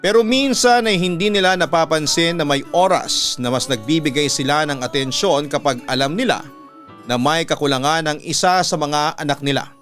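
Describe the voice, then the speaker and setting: A man's voice: on the high side, moderate pace, fairly bright tone. A man with a Filipino accent, a radio announcer reading a listener's letter as a dramatic story. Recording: music behind the voice. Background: background music.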